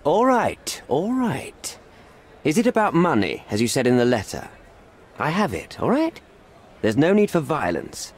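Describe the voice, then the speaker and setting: Casting: middle-aged diplomat in a video game, cornered and evasive, highly animated intonation, placating and formal. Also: male voice, measured pace, a little hiss or hum. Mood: alarmed, placating. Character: cornered middle-aged British envoy, evasive, smooth-talking, pleading. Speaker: British envoy. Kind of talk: video game cutscene acting.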